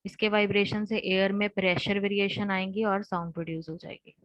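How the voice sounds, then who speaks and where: An Indian woman gives a technical lecture in a slightly low, monotone voice. She explains in steps, rephrasing as she goes. The sound is dry and close but dull and lo-fi, like online class audio. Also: brisk, no echo. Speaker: teacher.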